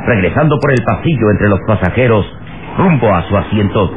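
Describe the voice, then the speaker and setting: A man's voice: fairly low pitch, expressive, brisk, very noisy. Tinny old radio serial male narrator.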